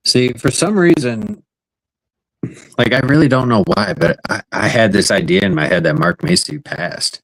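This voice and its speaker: Man, low pitch, animated intonation, easygoing, joking, dry sound. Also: dry recording, poor audio. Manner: easygoing, joking, quick reactions. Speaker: podcast co-host. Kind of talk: casual chat.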